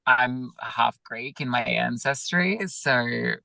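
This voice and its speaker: A man, a yoga teacher, talking gently and reflectively over a video call, with many pauses and fillers. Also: mid-range voice, gravelly, dry recording. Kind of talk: reflective interview talk.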